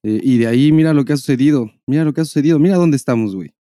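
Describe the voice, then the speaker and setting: A man's voice: medium pitch, very fast, mellow, dry recording. A man with a Mexican accent hosting a podcast in casual, unscripted banter full of slang and swearing. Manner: slangy, profane. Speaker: podcast host.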